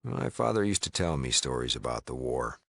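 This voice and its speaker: An American actor voicing a gruff, wry frontier town leader in a video game, laconic and plain-spoken with a gravelly voice. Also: male voice, sing-song, a little fast, fairly bright tone, dry recording, studio quality, exaggerated voice. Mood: matter-of-fact. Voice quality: deep gravelly voice. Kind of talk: video game character dialogue.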